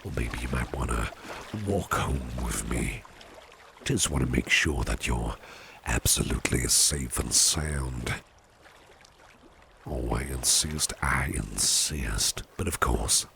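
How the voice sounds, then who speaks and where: An extremely deep, gravelly gentleman boss, acted by a man in an ASMR roleplay. He speaks slowly and suavely, slipping into a breathy half-whisper, courteous and coaxing, with strong ambient noise behind him. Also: heavily acted. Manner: slow, gentlemanly, reassuring, coaxing.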